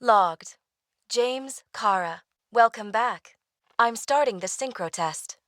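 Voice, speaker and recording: An actress playing a formal system attendant in a video game, speaking in clipped procedural phrases. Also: female voice, a little high, expressive, takes it slowly, fairly bright tone, faint music behind. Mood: neutral, procedural. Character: formal female system attendant greeting a client. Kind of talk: scripted game announcement.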